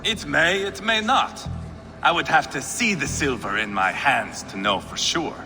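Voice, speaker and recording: An actor playing a brutal, hot-tempered Viking warrior in a video game, sneering, foul-mouthed and menacing. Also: male voice, fairly high pitch, lively intonation, a little slow, gravelly. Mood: scornful. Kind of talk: dramatic video game acting. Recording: long hall reverb, music underneath.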